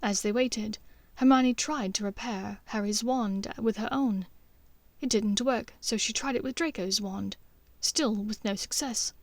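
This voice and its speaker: A woman reading scripted fiction as an audiobook narrator, in a measured, expressive storytelling manner. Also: on the high side, dry recording.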